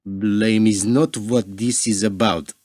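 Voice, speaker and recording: An actor playing a suave, suspicious ambassador in a radio play, with a formal, measured, pointed manner. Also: male voice, on the low side, steady tone, a little mellow, no echo, studio quality.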